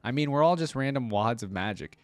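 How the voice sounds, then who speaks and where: A man's voice: medium pitch, animated, brisk, no echo, lightly acted. Man putting on a sullen, gloomy little yordle girl. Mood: bleak, resigned. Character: sullen, gloomy young female yordle who loathes happiness.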